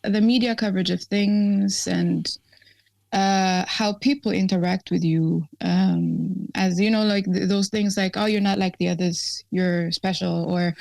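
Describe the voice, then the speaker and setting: A woman from Ethiopia, guest on an interview, speaking casually with many pauses, ums and restarts. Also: medium pitch, steady tone, creaky, a little mellow, no echo. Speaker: activist guest.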